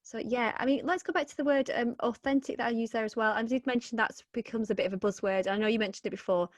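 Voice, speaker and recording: A woman's voice: on the high side, fast, no room sound, highs cut off. A woman hosting a podcast interview in a chatty, eager way. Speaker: podcast host. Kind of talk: casual interview chat.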